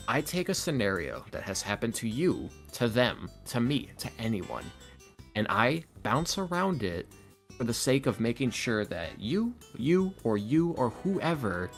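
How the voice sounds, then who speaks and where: A man talking casually as a podcast guest, emphatic and rambling, with slangy phrasing and acted-out quotes. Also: mid-range voice. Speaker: podcast guest, ASMR roleplay creator. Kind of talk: casual, opinionated interview talk.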